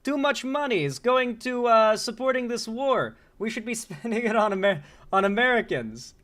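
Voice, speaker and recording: A man's voice: very high voice, expressive, normal speed, a bit bright, pitched above the performer's own voice. A man putting on the voice of a mocking fake-Texan Russian troll who chants stiff slogans. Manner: exaggerated, stilted slogans.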